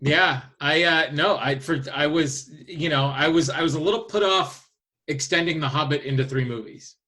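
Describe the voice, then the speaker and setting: A man with a fairly high, bright and forward voice co-hosts a podcast. He chats casually, with informal, somewhat hesitant phrasing. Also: moderate pace. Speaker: podcast co-host. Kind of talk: casual podcast chat about films and games.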